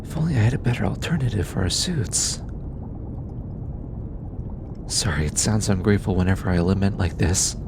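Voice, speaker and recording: A man voicing an anxious, earnest alien scientist in an intimate audio roleplay, hesitant and halting, as if easily flustered. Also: very animated. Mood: wistful, apologetic. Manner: hesitant, halting, stammering, sighing. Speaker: voice actor. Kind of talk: scripted audio roleplay, intimate one-sided dialogue. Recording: clearly noisy, low rumbling background.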